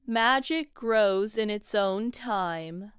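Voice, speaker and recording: A man voicing a kind, calm woman professor of magic in a bedtime story, gently reassuring. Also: high-pitched, drawn-out words, smooth, dry recording, clean studio sound, pitched above the performer's own voice, exaggerated voice.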